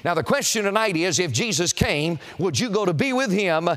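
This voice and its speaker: Male preacher, high voice, evangelistic sermon, emphatic, declamatory, solemn warnings, addressing a congregation. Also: lively intonation, normal speed, fairly bright tone. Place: auditorium, preaching to a seated congregation.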